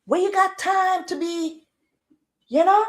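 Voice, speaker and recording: A Jamaican woman hosting a casual livestream chat in a loud, projecting voice, firing off rhetorical questions with easygoing slang. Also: very high-pitched, slow. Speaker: livestream host.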